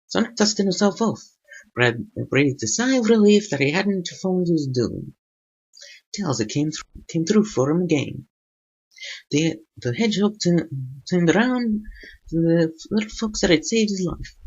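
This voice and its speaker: A man's voice: high-pitched, lively intonation, a little slow, darkish tone, husky, lo-fi sound, voice pushed up, exaggerated voice. A man putting on a narrator voice to read a cartoon adventure tale aloud. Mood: dramatic narration. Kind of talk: scripted narration in a put-on voice.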